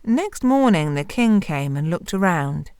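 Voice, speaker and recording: A woman storyteller giving an expressive narration of a fairy tale. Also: lowish voice, measured pace, clean tone, no room sound, studio quality.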